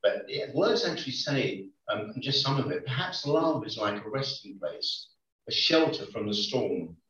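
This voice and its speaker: A man's voice: medium pitch, very animated, measured pace, fairly bright tone. Man preaching in an echoey church. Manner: conversational, hesitant with many ums. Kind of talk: sermon. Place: church hall. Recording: echoey lo-fi church recording from far off.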